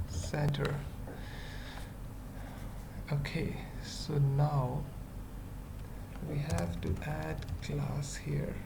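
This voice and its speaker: A person thinking aloud through an unscripted coding tutorial, murmuring short halting fragments with long pauses. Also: medium pitch, creaky. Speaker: web developer giving a tutorial. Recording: noisy room with keyboard typing. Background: computer keyboard typing.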